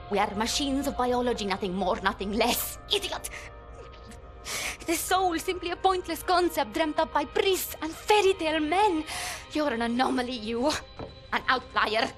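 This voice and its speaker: Give the part of a brilliant, bitter woman scientist. She is clinical and fatalistic, talking down to everyone in precise words. It is video game drama, with music behind her voice. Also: sits high. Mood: scornful, agitated. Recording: music under the voice.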